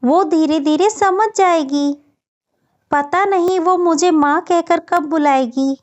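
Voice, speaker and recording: Woman voicing a high-pitched, tender cartoon mother bird. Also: slow pace. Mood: pleading, sad. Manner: tender, pleading, earnest. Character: gentle, self-sacrificing mother bird, devoted and long-suffering. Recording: dull, muffled lo-fi audio.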